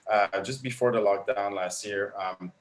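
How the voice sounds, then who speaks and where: A French Canadian man in his thirties talks through a laptop webcam on a live video call. His voice sits fairly low, and he tells his story earnestly, with plenty of uhs and ums. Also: moderate pace. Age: 30s. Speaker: guest coach. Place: indoors at home, bright room.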